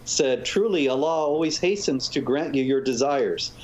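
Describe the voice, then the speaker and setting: A man, a guest author, explaining points in a measured way during a talk show interview. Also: medium pitch, a little mellow. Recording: dull lo-fi audio with faint noise.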